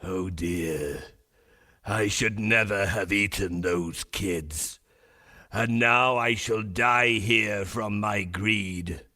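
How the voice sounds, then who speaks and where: A man voicing a greedy storybook wolf who groans slowly and pities himself. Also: lowish voice, bright, forceful tone, full character voice. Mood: groaning, self-pitying. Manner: slow, drawn-out groaning lament.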